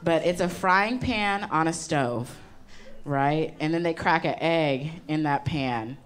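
A woman's voice: deep voice, slow pace, clear, resonant tone. A young woman doing a stand-up comedy set on stage with a handheld microphone, delivering conversational punchlines with frequent ums. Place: comedy stage before a live audience. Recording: live show with loud crowd laughter. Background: loud audience laughter.

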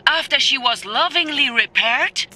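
A woman's voice: high voice, lively intonation, unhurried, pushed and bright, slight background noise, heavily acted. An actress playing a cheeky, plucky young woman who works as a mech engineer in a video game. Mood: indignant. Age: young adult. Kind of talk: video game cutscene acting.

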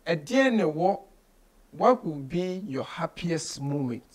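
A man's voice: on the high side, sing-song, unhurried. A Ghanaian-accented man in his thirties hosting a casual studio interview, mixing English with local slang and phrases. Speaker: radio show host, interviewer. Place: small radio studio office, indoors.

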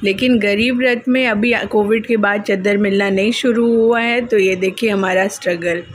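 A woman's voice: steady tone, moderate pace. A woman with an Indian accent doing casual family travel vlog narration and chat, pointing things out to viewers. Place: inside a moving train. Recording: noisy, crowded train carriage with vehicle rumble.

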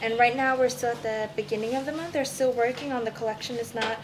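A young woman from southern Sweden, a native Swedish speaker, chatting playfully on a city vlog with animated gestures, recorded on a camera mic. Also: on the high side, quick pace, noisy background. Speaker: model and vlog guest. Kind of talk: casual vlog chat. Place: cafe, shops and street in a city.

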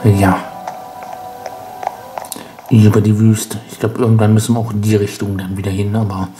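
A man with a cold, sounding stuffy, rambling wryly as he hosts a casual gameplay commentary. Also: lowish voice. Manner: rambling, self-mocking, wry. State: congested with a cold. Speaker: let's play host.

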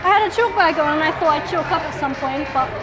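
A woman's voice: high-pitched, fast talker, over loud music, poor audio. A young woman in an indoor climbing gym, chatting casually and calling short encouragement from behind the camera. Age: young adult. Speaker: climber filming the vlog.

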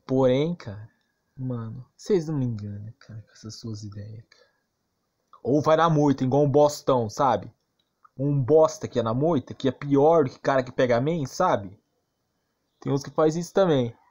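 A man's voice: mid-range voice, normal speed, a little mellow, lo-fi sound. Brazilian man, emphatic gaming rant. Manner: emphatic, repetitive, asks rhetorical questions; slangy and crude. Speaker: gaming YouTuber. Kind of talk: casual ranting game commentary.

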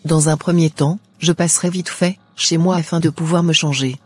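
An actress playing an eager, compliant young bride-to-be in a cartoon drama, with agreeable, formal replies. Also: female voice, low voice, fairly even intonation, normal speed, slightly rough.

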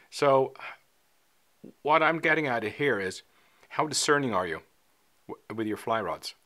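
A man's voice: on the low side, fairly bright tone. A man in his sixties talking casually to the camera in a small studio, conversational with lots of fillers and hand gestures. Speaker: fly fishing vlogger. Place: small indoor studio, dark backdrop.

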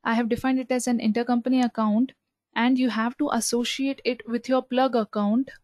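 A woman narrates a screen tutorial as a trainer, explaining each step and restating key points. Her voice sits a little high yet has a dark, relaxed tone. The sound is dry, with very little room in it. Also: fairly quick, dry recording. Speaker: software trainer.

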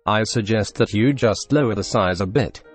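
A young man with a Russian accent narrating a software tutorial in a deadpan, dryly absurd scripted voice-over. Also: on the low side, normal speed, slightly rough, faint music behind. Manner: deadpan, dry absurd humor.

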